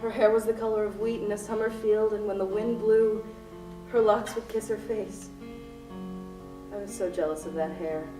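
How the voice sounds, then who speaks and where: Young actress as a volatile grieving widow, roomy recording. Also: female voice, mid-range voice, fairly even intonation, normal speed, natural voice. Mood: tender, wistful. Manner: dramatic, volatile, poetic. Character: distraught grieving widow, armed hostage-taker telling her love story. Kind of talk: student radio play acting. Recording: roomy distant stage recording with music.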